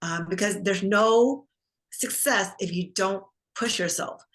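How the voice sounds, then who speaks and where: A woman's voice: mid-range voice, slow, a bit bright. A woman whose first language is Vietnamese, speaking earnestly as an optometrist guest in an interview. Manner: earnest, determined, tells personal story. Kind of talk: conversational interview answers.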